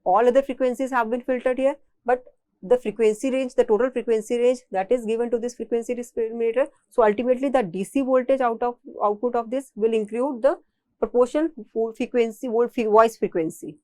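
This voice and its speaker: A woman's voice: fairly high pitch, steady tone, brisk, darkish tone, dry recording. A woman with an Indian accent giving a technical engineering lecture, explaining step by step and often repeating and rephrasing her phrases.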